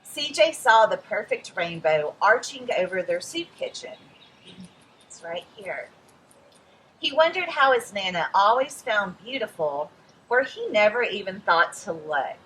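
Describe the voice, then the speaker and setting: A woman's voice: mid-range voice, a little hiss or hum, low-quality recording. A woman hosting a read-aloud, expressively reading a picture book to young listeners. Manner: expressive storytelling, addresses young listeners, pauses for picture asides. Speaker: children's read-aloud host.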